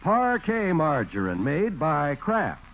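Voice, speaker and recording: Animated vintage radio announcer man. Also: a little high, slow pace. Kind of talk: 1940s scripted radio announcing and ad copy. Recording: old tinny 1940s radio broadcast. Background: music.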